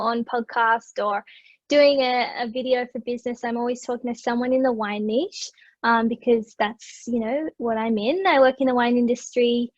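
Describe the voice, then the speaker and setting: A woman's voice: fairly high pitch, no echo. Chatty Australian woman, dry dull sound. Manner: chatty, loose, many fillers. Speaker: digital marketer for wineries. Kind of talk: casual conversation.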